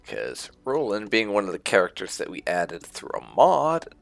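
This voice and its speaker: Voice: low-pitched, huge pitch swings, moderate pace, gravelly, no echo. A video game streamer giving casual, rambling let's-play commentary with wry asides.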